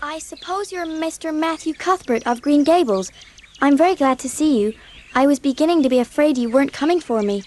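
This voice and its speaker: A woman's voice: high-pitched, lively intonation, fairly quick, darkish tone, a little hiss or hum. A woman playing a dreamy, talkative eleven-year-old orphan girl in an audiobook drama, gushing and exclaiming as she chatters. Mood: eager, chatty.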